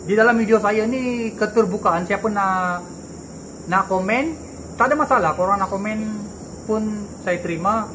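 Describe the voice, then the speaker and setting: A young Malaysian man with a Malaysian accent talks to his viewers in a chatty, reassuring way, and his voice sits high. He records on a handheld camera mic outdoors in the countryside at night. Loud insect and night noise surrounds him. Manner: chatty and reassuring, mixes in Kadazan Dusun phrases. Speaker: vlogger. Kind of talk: casual talk to camera.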